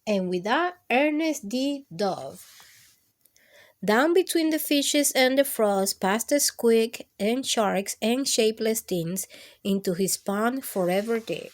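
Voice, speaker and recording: A woman hosting a children's storytime, reading picture books aloud expressively with drawn-out emphasis for young listeners. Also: on the high side, animated. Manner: drawn-out, emphatic delivery addressed to small children.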